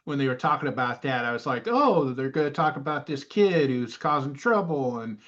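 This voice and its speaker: Animated guy panelist, wry, voice jumps around a lot, muffled lo-fi sound. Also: medium pitch, moderate pace. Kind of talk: casual, animated retelling. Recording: dull, lo-fi audio.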